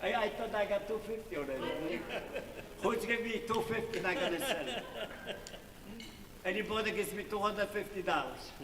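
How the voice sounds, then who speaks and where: An older man with a Polish accent and a high voice works a live charity auction. His phrasing is halting and teasing, full of jovial banter. He is picked up from a distance in a noisy, reverberant hall. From Poland. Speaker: musician running a charity auction. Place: large indoor hall at a live event. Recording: echoey hall, distant mic, clear room noise.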